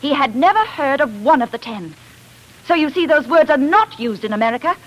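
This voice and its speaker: A fierce young woman in a 1940s radio play. She speaks in a high voice with righteous, accusing force. Her delivery is declamatory and emphatic, as on a vintage broadcast. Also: lively intonation, brisk, lo-fi sound.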